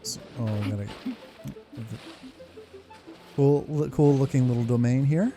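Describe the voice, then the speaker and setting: A man giving casual gameplay commentary as a video host, excitable, sweary and informal. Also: mid-range voice, unhurried. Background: game music.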